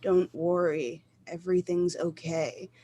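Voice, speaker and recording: A woman's voice: on the low side, steady tone, unhurried, noisy background, close to an everyday voice. Matter-of-fact hired killer played by a woman. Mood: cold, businesslike. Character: cold-blooded hired killer named George, male. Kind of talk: suspense radio play acting.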